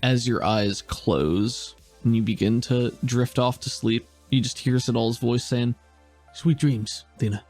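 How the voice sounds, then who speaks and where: A man's voice: mid-range voice, steady tone, unhurried, few pauses, a little mellow, soft background music. A man narrating a tabletop role-play game as game master in a laid-back, descriptive way.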